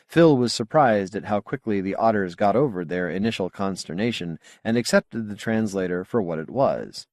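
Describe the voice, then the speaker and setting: A man reading a science fiction novel as an audiobook narrator, with measured, clearly phrased delivery. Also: fairly low pitch, expressive, a little mellow, dry recording, clean studio sound.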